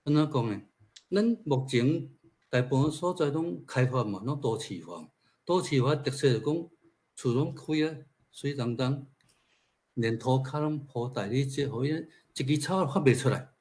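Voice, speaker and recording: A man with a Taiwanese accent speaks in a medium-pitched voice. He talks informally, as a speaker sharing his own observations. He often puts rhetorical questions to his listeners as he goes. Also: expressive, normal speed, a little mellow. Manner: conversational, asks rhetorical questions. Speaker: speaker at a literature forum.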